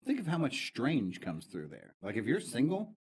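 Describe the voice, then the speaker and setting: A man's voice: mid-range voice, wildly swooping pitch, normal speed, slightly rough. A man co-hosting a casual podcast who cuts in with crude jokes and lots of swearing. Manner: crude, joking interjections with heavy swearing. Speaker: podcast co-host.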